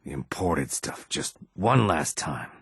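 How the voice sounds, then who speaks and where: A man voicing a reptilian Argonian assassin and outlaw in a video game, with a rasping, husky voice. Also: very deep voice, big pitch swings, slow pace, a bit bright, dry recording, clean studio sound, exaggerated voice. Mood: muttering, grumbling. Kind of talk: video game character dialogue.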